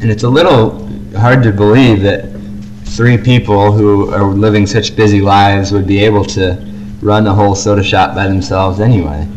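A man's voice: on the low side, steady tone, moderate pace, noisy background. A man co-hosting a fan podcast in casual, easygoing conversation. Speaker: podcast co-host.

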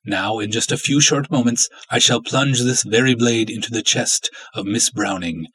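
A man voicing an obsessive, lovestruck villainous cultist in a dramatic story reading, florid, theatrical and menacing, with a husky voice. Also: medium pitch, moderate pace, fairly bright tone, no room sound. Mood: gloating, menacing.

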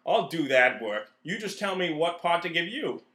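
A man's voice: on the high side, sing-song, moderate pace, pushed and bright, echoey room. A man playing an eager, dim-witted schemer in an old-time radio comedy routine, literal-minded and exclamatory, recorded in a room. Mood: scheming.

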